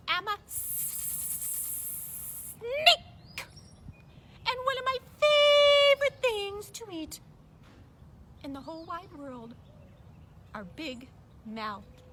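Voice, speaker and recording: Puppet snake, female performer: syrupy, sly, slow and lingering, wide pitch swoops, noisy recording. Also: very animated, heavily acted. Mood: sly, sweet. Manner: drawn-out, lingering words. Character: sly, syrupy, smiling snake who eats frogs. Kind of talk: children's puppet show.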